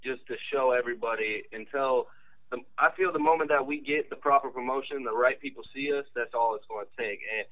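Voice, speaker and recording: A man from the rap group, speaking in a measured, slightly hesitant way as a guest. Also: medium pitch, phone-quality sound.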